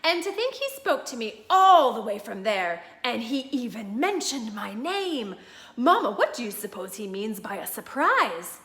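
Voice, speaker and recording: A woman's voice: a little high, sing-song, measured pace, a bit bright, echoey room. A woman reading aloud, voicing a cheerful, dreamy man who talks eagerly. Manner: eager, enthusiastic.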